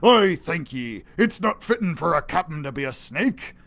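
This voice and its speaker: A man voicing a gruff, kindly pirate captain who says "arr" and "ye", in a storybook read-aloud. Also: a little high, very animated, normal speed, a bit bright. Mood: grateful.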